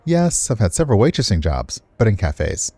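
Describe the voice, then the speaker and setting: A man's voice: on the low side, sing-song, normal speed, a little mellow, husky, no echo, heavily acted. A man puts on an eager young woman for a visual novel, a dancer with earnest resolve. The recording is close and dry.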